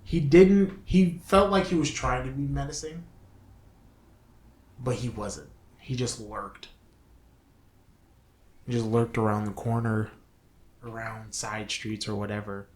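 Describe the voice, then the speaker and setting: Man, casual review talk, slow halting delivery, mid-range voice, roomy echo. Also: mid-range voice. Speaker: show co-host. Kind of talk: casual film and game review discussion. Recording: roomy, echoing sound.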